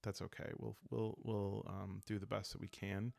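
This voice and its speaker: A man talking calmly and softly as host of a relaxing solo roleplaying playthrough, thinking aloud with hesitant pauses. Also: fairly low pitch, gravelly, no echo. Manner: calm, soft-spoken, hesitant pauses while thinking aloud. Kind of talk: calm, rambling sleep-aid game walkthrough.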